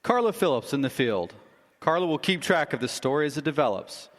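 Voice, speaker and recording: Casting: a man as a measured radio announcer with a lively, varied voice, in a hall with long reverb. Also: a little high, lightly acted. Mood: announcing. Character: radio station announcer, smooth. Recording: reverberant hall, faint noise.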